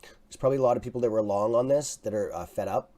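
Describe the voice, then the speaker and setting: A man with a raspy, mellow, fairly low voice, chatting loosely through a live trading stream. He gets excited, swears now and then, and keeps addressing his viewers as "guys.". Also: quick pace. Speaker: livestream stock trading host. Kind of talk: casual live market commentary.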